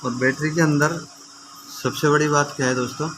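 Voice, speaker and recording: A man's voice: on the high side, fairly even intonation, moderate pace. Man giving hands-on tutorial, noisy recording. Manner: conversational, often checks with "okay" and addresses viewers as friends. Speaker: repair tutor presenting a how-to video. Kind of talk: informal hands-on demonstration, explaining step by step.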